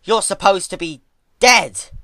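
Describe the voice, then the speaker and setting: A man voicing a sullen, cruel young female pony student in a fan fiction reading, stammering and shouting in disbelief. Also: high voice, measured pace, no room sound, studio quality, pitched above the performer's own voice. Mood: shocked, yelling.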